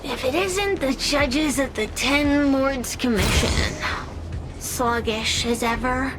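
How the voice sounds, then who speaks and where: A woman's voice: high voice, takes it slowly, background noise. A woman voicing a scheming, theatrical spirit villain in a video game, with a mocking, drawling delivery. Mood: mocking, gloating. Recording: loud music behind.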